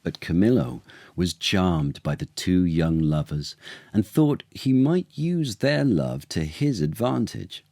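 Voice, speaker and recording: A man, an actor, reading a tale to children as an expressive, theatrical storyteller with dramatic pauses. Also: low-pitched, no echo.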